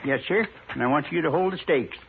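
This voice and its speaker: Old western rancher, American accent, husky, higher-pitched, earnest, plain-spoken, narrow old radio sound. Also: male voice, fairly quick, background noise. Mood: determined. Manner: folksy, plain-spoken, determined. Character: proud, earnest old rancher devoted to his colt. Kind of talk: 1950s western radio drama acting. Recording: muffled old-time radio recording.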